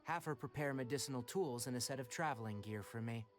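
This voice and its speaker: A man playing an earnest young forest ranger in a video game, speaking in a brisk, articulate, matter-of-fact way. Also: medium pitch, natural voice. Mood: businesslike. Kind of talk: scripted video game voice acting. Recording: game music behind the voice. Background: background game music.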